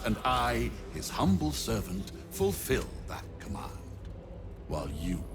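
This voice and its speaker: An actor playing a pompous, scheming necromancer in a video game, condescending and theatrical in his deliberate speech. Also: on the low side, creaky, noisy background, full character voice. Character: pompous, scheming male necromancer, haughty and contemptuous.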